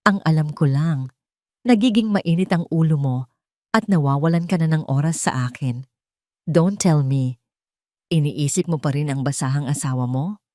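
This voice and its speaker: A woman's voice: low voice, normal speed, long pauses, warm tone, dry recording, studio quality, close to an everyday voice. A woman voicing a haughty, nagging, jealous mistress in a Tagalog audio drama. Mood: resentful, angry.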